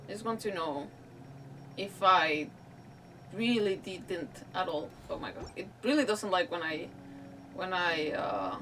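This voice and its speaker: A woman's voice: fairly low pitch, expressive, unhurried, fairly bright tone, a little hiss or hum. A woman streamer giving casual gameplay commentary in a rambling, self-deprecating way. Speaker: gaming streamer. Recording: slightly distant mic, faint music behind.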